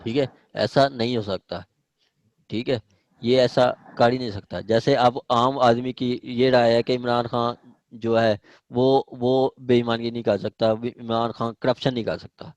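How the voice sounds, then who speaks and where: Male Pakistani lecturer, mid-pitched, dry sound; informal, repetitive, example-led explaining with frequent check-ins. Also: fairly even intonation, unhurried, darkish tone, no room sound, muffled recording. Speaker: law teacher. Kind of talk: informal law lecture with questions.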